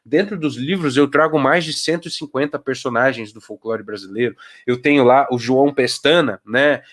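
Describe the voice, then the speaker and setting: A man's voice: medium pitch, normal speed. A man from São Paulo with a Paulista accent, talking casually on a live video call as a children's author, full of rhetorical questions. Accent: Paulista Brazilian Portuguese. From São Paulo, Brazil. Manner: conversational, asks rhetorical questions, briefly voices old folks.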